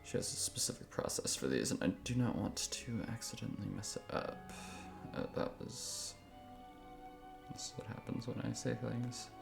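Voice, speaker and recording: Very low, creaky-voiced woman streamer. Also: animated, moderate pace. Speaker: game streamer. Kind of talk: casual livestream gameplay commentary. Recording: loud game music behind. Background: video game music.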